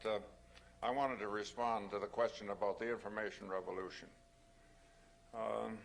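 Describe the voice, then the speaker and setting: An older man giving reflective remarks on a public panel in a halting, deliberate and formal way. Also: medium pitch, a little hiss or hum. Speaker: panel speaker.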